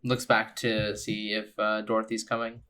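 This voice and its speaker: A man's voice: lowish voice, flat delivery, moderate pace, pushed and bright. A man who plays a tabletop role-playing game, casually describing his character's actions.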